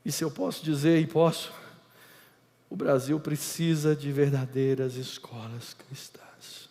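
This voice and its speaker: A middle-aged Brazilian man with a Brazilian Portuguese accent, preaching an emphatic lecture-style sermon that swings between loud and hushed. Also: a little high, little pitch movement, unhurried. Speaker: pastor. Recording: reverberant hall.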